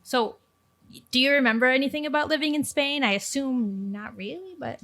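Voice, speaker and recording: A woman's voice: sits high, moderate pace. A woman from Chile hosting a casual interview podcast, chatty, with many "like"s and "um"s. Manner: warm, chatty, lots of "like" and "um". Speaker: podcast host.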